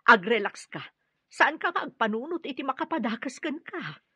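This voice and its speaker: A woman playing a caring, worried mother in a radio drama, soothing and expressive. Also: sits high, moderate pace, husky, no room sound, heavily acted. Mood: reassuring. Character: caring, worried mother who sells goods. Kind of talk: Ilocano radio drama acting. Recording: slightly muffled, dry studio recording.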